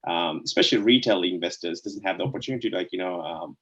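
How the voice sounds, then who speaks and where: A low-pitched man from Sri Lanka speaks as an interview guest, circling his points with "like, you know" and tagging them with "right?". The sound is a little muffled and lo-fi. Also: fast, a bit bright, a little hiss or hum. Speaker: guest, DeFi co-founder. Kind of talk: casual interview answers.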